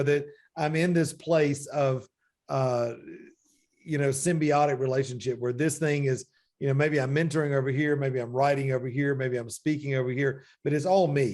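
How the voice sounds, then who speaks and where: A man's voice: mid-range voice, steady tone, fairly quick, darkish tone. A man in his forties hosting a faith-based interview, talking reflectively and often saying "you know.". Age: 40s. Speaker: podcast host.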